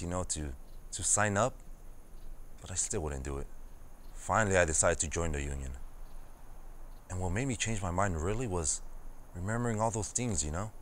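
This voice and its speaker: A man's voice: deep voice, a bit bright, a little hiss or hum. A young male actor playing an earnest farmworker reminiscing in a conversational, reflective monologue. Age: young adult. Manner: conversational, reflective, peppered with "you know". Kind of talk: dramatized oral history monologue.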